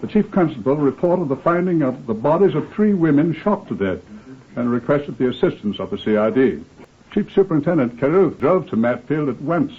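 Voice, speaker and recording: An actor with a British accent playing an authoritative police superintendent who narrates crime cases in a formal, measured, deliberate old-time radio style. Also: male voice, mid-range voice, muffled recording, natural voice. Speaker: narrator, host of crime series. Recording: thin, band-limited old radio recording.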